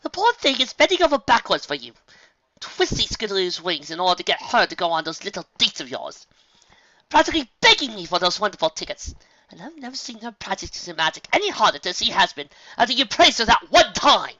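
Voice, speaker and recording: A woman's voice: mid-range voice, sing-song, a little fast, pushed and bright, dry recording, pitched above the performer's own voice. A woman voicing a refined, theatrical grown unicorn mare who runs a dress shop, speaking in an elegant, fussy manner. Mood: stern. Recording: dull lo-fi, dry recording.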